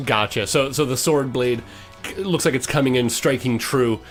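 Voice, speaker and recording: A man's voice: medium pitch, moderate pace, soft background music. A man acting as game master, casually narrating the action of a tabletop fantasy game and asking players for their moves.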